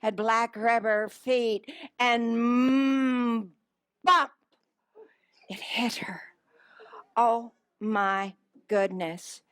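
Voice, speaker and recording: A woman reading a picture book aloud to children as a story time host, with dramatic, drawn-out emphasis and questions to her listeners. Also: fairly high pitch, steady tone, no echo, clean studio sound.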